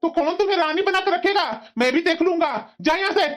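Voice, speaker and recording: A woman's voice: very high voice, brisk, slight background noise. A woman voicing an exasperated, aggrieved young husband in a family cartoon, scolding, in a dull lo-fi recording. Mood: angry, fed up. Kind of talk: cartoon voice-over in family comedy drama.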